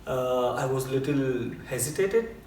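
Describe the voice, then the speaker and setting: A middle-aged man with an Indian accent talks at a slow, unhurried pace, often pausing on fillers as he tells his story. He sits some distance from the mic, so the voice carries the echo of the room. A faint hiss stays under the whole recording. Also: mid-range voice, a little mellow. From India (Bombay). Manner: unhurried, with frequent uh fillers and restarts. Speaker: choreographer and dancer guest. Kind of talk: relaxed personal storytelling.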